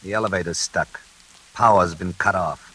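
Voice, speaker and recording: An actor playing a sinister night elevator operator in a classic radio suspense drama, laconic and coolly insinuating. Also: male voice, low voice, normal speed, bright, forceful tone, husky, exaggerated voice. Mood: coolly ominous. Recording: hissy, noisy old radio recording.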